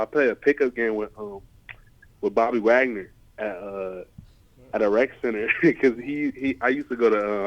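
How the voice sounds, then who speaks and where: Young guy from the states, athlete doing casual interview, says you know and like a lot, muffled call sound. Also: mid-range voice. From United States (California and Ohio). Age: 20s. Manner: informal, repeats "you know" and "like" often. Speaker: American football player, linebacker. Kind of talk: casual press interview answers. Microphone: remote conference call. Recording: dull, lo-fi conference call audio.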